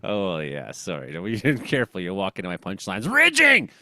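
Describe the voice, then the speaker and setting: A man's voice: low voice, huge pitch swings, brisk, sharp tone, no room sound, studio quality. A man with a Western Canadian accent hosts a comedy weather show in casual, rambling, slangy chat, joking and exclaiming as he comments.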